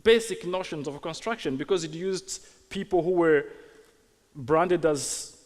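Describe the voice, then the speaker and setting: A man's voice: a little high, a little slow. A man from Rwanda, an architect, giving a conference talk, hesitant with many ums and uhs and wry asides. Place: large hall on stage. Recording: long hall reverb.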